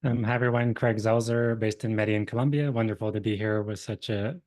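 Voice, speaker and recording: A man's voice: fairly low pitch, fairly even intonation, brisk, a little mellow. An American man in his fifties giving an informal, direct introduction and advice as a panelist. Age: 50s. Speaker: career panelist. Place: small room, video call. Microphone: desk microphone up close, earbuds.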